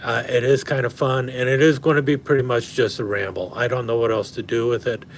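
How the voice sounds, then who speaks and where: A man rambles through a casual home livestream, full of ums and restarts. His mid-pitched voice is wearing thin and a little hoarse. A faint hum sits under the recording. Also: steady tone, a little fast. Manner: rambling, many fillers and restarts. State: slightly hoarse, losing his voice. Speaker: knife collector livestream host. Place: at home indoors.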